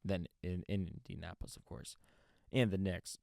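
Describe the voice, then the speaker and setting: Male sports host, mellow and dry-recorded, halting unscripted commentary. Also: sits low, steady tone, gravelly, no room sound. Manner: halting, rephrases mid-sentence.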